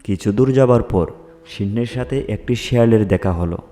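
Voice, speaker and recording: A man's voice: on the low side, moderate pace, mellow. A man narrating a scripted animal fairy tale as a storyteller.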